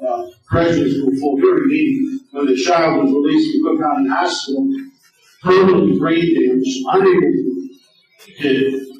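A man's voice: mid-range voice, a little mellow. A middle-aged man speaks at a podium microphone in a large hall, and his voice rings with heavy reverb, as if picked up from a few feet back. The sound is a little muffled. He talks with urgent conviction, telling stories and hammering his points home with repetition. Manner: earnest, urgent, storytelling with emphatic repetition. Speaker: lawyer giving a lecture. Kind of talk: speech to an audience. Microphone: podium microphone on a stand. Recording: echoey hall, distant, slightly dull.

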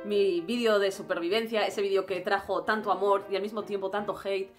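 A young woman with a Spanish accent from Spain, chatting casually to camera as a travel vlogger, animated and expressive. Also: medium pitch, fairly quick, faint music behind. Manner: animated, expressive, gestures a lot. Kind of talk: casual vlog monologue. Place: small room indoors.

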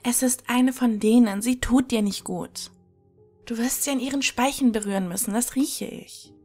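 A woman's voice: fairly high pitch, moderate pace, darkish tone, faint music behind, natural voice. A woman playing a desperate, jealous old bicycle, a spurned ex-girlfriend, pleading urgently in an audio drama. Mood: urgent warning.

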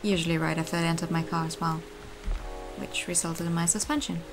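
A woman acts out a reserved, melancholy woman in a visual novel game. The voice is on the low side, with precise, formal delivery and restrained feeling. Faint music sits behind it. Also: fairly even intonation, a little fast, close to an everyday voice. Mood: reserved, somber. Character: reserved, formal, melancholy female driver, frightening to strangers. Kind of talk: fan-dubbed visual novel acting.

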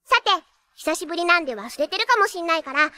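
Super high bubbly anime magical nurse girl, teen, bratty and cute, piercing bright voice. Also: big pitch swings, quick pace, dry recording, clean studio sound, exaggerated voice. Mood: bubbly, excited. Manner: cutesy, bubbly, catlike verbal tics. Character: perky, cheeky teenage idol magical nurse girl. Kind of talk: anime character acting and excited narration.

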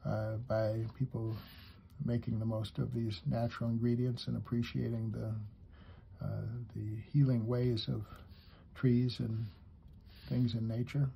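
A man giving an unhurried, step-by-step drawing lesson for kids, talking as he draws and pausing mid-sentence, with a husky voice. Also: mid-range voice, little pitch movement, warm tone. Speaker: drawing teacher.

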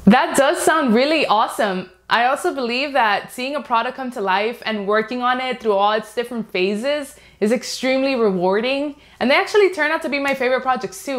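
Upbeat high-voiced young woman host. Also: normal speed, fairly bright tone. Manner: upbeat, enthusiastic. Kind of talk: scripted channel host talk. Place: studio before green screen, indoors. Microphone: lapel.

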